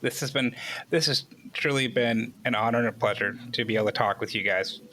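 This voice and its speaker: Man, interview host, bright forceful voice, mid pitch, casual chat. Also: a little fast, a little hiss or hum, rough recording.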